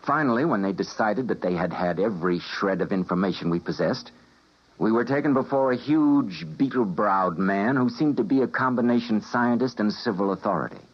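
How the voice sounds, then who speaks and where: An actor playing an earnest male scientist, who narrates in a 1950s radio drama, with a husky voice. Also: lowish voice, expressive, a little fast. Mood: grave. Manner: intense, emotional delivery. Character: doomed male time-travelling scientist, earnest. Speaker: physicist and narrator. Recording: dull vintage radio broadcast.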